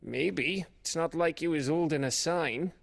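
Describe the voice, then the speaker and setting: An actor playing a wisecracking, scrappy soldier scout with a sardonic way of talking. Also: male voice, fairly high pitch, creaky. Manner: sardonic, quick quips.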